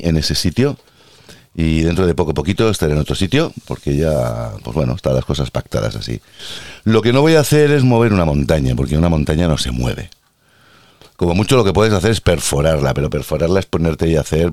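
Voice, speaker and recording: A man's voice: rumbling low, sing-song, a little fast, a little mellow, dry recording, clean studio sound. A man with an Andalusian accent hosting a casual podcast monologue, ranting in a sarcastic, playfully theatrical way about work as a healthcare worker.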